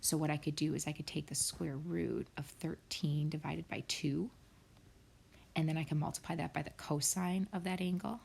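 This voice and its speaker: A woman's voice: low-pitched, fairly even intonation, normal speed, creaky, a little mellow, slight background noise. A woman math teacher talking students through problems step by step in a recorded screen lesson, often dropping to a near whisper.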